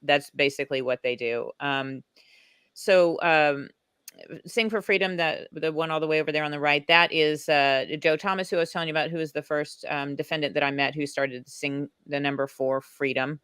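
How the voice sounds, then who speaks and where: A woman's voice: low-pitched, fairly even intonation, moderate pace, fairly bright tone, smooth, no echo, studio quality. An American woman, a guest on an online talk show, chatting casually and rambling with many restarts. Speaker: guest advocate.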